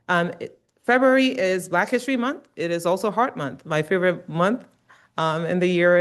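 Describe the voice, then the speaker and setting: A middle-aged woman from Ghana delivering a lecture. Her voice sits low and comes through clean and resonant. She talks earnestly, often pausing on "um" and "uh" as she goes. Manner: warm, earnest, with frequent um and uh fillers. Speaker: guest lecturer, nursing researcher. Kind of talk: academic lecture.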